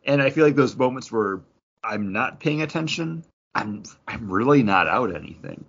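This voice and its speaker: A man's voice: medium pitch, expressive, normal speed, vocal fry, slightly rough, lo-fi sound. A man co-hosting a casual gaming chat, explaining things in detail with plenty of slang. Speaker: podcast co-host.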